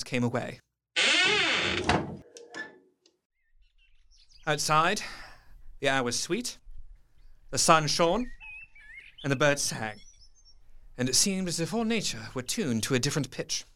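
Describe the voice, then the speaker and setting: A man playing an earnest gentleman doctor, narrating a gothic horror radio play in a dramatic, literary manner. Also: fairly high pitch, animated, moderate pace, a little hiss or hum. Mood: solemn, measured.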